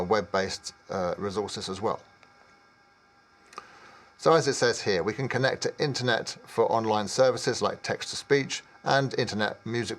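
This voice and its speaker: A man in his fifties presenting product training in a measured, explanatory way with some hesitation. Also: lowish voice. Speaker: technical trainer.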